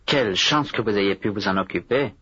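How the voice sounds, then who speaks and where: A man with a husky voice giving the model answer in a recorded language drill, repeating each full sentence clearly and evenly. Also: lowish voice, brisk, sharp tone, lo-fi sound.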